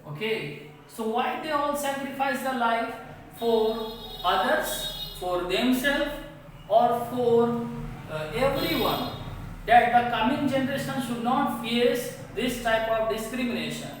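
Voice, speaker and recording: A woman's voice: fairly high pitch, expressive, a little hiss or hum. A woman teacher with an Indian English accent, reading a textbook passage aloud to students and explaining it line by line in simple words. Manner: slow, repetitive, reads a line then paraphrases it. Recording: echoey room from far off.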